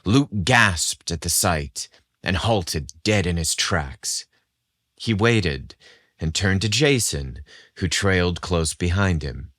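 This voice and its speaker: Male podcast narrator, deep register, deliberate scripted delivery, very dry sound. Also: animated, fairly bright tone, no echo. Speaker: podcast host and story narrator.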